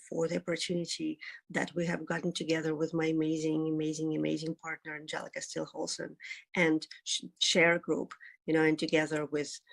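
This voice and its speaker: A woman from Ukraine talks on a video call, her voice low and fairly flat. She is earnest and speaks in halting phrases, stopping often to find the next word. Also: creaky. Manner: earnest, searching for words with many fillers. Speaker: interview guest, concert organizer. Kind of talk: remote news interview.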